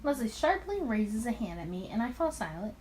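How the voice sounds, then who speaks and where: A woman's voice: a little high, very animated, brisk, darkish tone, slight background noise, low-quality recording. A woman reading a visual novel aloud expressively, occasionally stumbling over words and repeating them.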